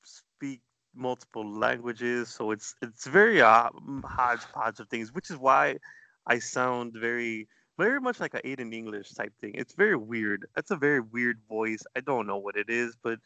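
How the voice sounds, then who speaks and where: A man from the southern United States talks loosely on a podcast, circling around his point as he hunts for words. His voice is bright and edgy with a gravelly crackle, and it sounds dry and close to the mic. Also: mid-range voice, moderate pace, no room sound. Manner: rambling, searching for words. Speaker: podcast co-host. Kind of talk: casual chat.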